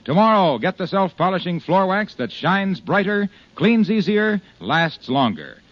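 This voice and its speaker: A man's voice: high voice, big pitch swings, a little slow, dry recording. A man announcing in a polished, emphatic 1950s radio commercial style. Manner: polished, emphatic sales delivery. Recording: muffled old radio recording.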